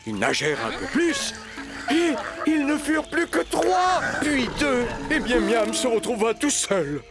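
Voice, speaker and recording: A man's voice: very high-pitched, sing-song, unhurried, full character voice. A man voicing a kindly, blustering bear in a cartoon, protective and easily flustered. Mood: storytelling. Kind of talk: French cartoon dub acting. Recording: loud music behind the voice.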